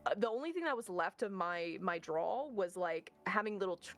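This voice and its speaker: A woman's voice: medium pitch, lively intonation, moderate pace, a bit bright, soft background music. A woman from the Southern US, a VTuber guest chatting casually in an interview, rambling and leaning hard on fillers.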